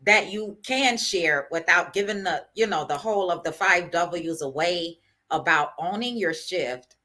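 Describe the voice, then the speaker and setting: A woman's voice: on the low side, a little slow, pushed and bright. A woman hosting an interview, polite and affirming toward her guest. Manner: polite, affirming, says yes ma'am. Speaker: host. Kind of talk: casual interview.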